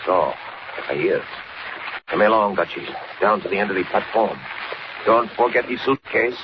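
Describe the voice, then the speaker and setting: An actor playing a cold, commanding Western gang boss with terse orders in a 1940s radio serial. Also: male voice, lowish voice, moderate pace, natural voice. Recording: muffled, noisy old 1940s radio recording.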